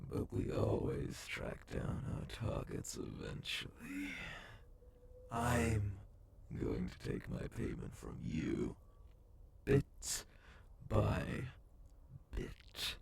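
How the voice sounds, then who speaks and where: A man playing a sadistic cyborg bounty hunter boss in an ASMR horror roleplay, with a gravelly half-whisper and slow, taunting delivery. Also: fairly low pitch, noisy background, exaggerated voice. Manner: slow, menacing, drawn-out taunting.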